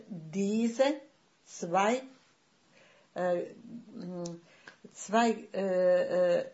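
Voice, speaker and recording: Bosnian-accented woman in her sixties, podcast guest, slow and halting, dull lo-fi sound. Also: lowish voice, warm tone. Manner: hesitant, searching for words, many fillers. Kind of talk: podcast conversation.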